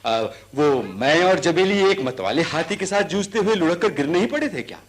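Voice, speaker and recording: A man's voice: a little high, moderate pace. An actor playing an earnest, servile male elephant catcher who tells his tales vividly in a radio drama. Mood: excited recounting. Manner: servile address, vivid excited recounting. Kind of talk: Hindi radio drama acting.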